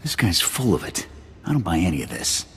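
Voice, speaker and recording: A cynical, gruff sergeant in a video game. His very deep voice moves widely as he grumbles, with some hall reverb. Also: wildly swooping pitch, brisk, a bit bright, exaggerated voice. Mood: grumbling. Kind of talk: video game cutscene acting.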